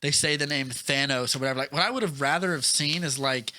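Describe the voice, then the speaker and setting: A man's voice: mid-range voice, fast talker, sharp tone. A man co-hosting a podcast in casual film discussion, animatedly acting out scenes as he tells them. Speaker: podcast co-host reviewing a film.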